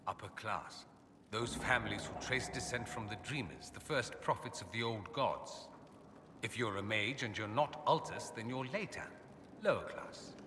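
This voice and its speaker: An actor playing an urbane, witty, self-assured aristocrat mage in a video game, articulate, theatrical and sardonic. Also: male voice, lowish voice, lively intonation, normal speed, natural voice. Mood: lofty, amused. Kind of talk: video game dialogue acting. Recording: echoey hall with ambient noise.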